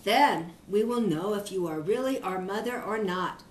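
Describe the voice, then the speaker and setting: A woman's voice: mid-range voice, lively intonation, normal speed, reverberant, slight background noise, pitched below the performer's own voice, lightly acted. A woman voicing a wary, innocent little goat kid in a children's storybook, childlike and insistent. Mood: defiant.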